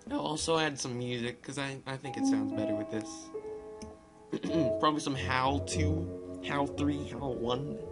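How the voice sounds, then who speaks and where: A man doing casual game commentary and amateur read-aloud narration, informal, with stumbles and ad-libs. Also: on the high side. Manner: informal, loosely reads text with stumbles and ad-libs. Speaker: gaming video narrator. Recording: music playing behind the voice. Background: guitar and piano music.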